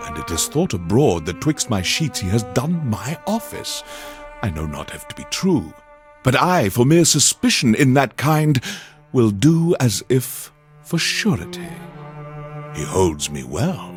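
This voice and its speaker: An actor playing a pompous, erudite alien overlord who hosts a game radio show, reciting in grandiose, carefully enunciated theatrical style. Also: male voice, mid-range voice, sing-song, measured pace, music in the background. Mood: scheming, sinister. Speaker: radio DJ host.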